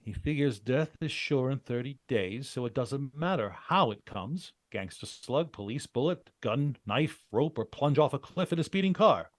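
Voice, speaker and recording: A man plays a cool, hard-boiled American private operative in an old radio crime serial. He is wry and commanding, with a medium-pitched voice in a dry recording. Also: animated, normal speed, hardly any pauses, no echo, lightly acted. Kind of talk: 1940s radio drama acting.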